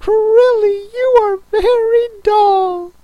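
A woman voicing a mournful, touchy, sobbing turtle creature from a storybook, with a drawn-out, tearful delivery. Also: extremely high pitch, dry recording, highs cut off, pitched above the performer's own voice. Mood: angry, tearful.

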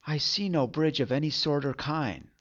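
A man voicing a calm young boy in a quiet, low-key way, in a storybook reading. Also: moderate pace, lightly acted. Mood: plain. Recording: dull lo-fi recording.

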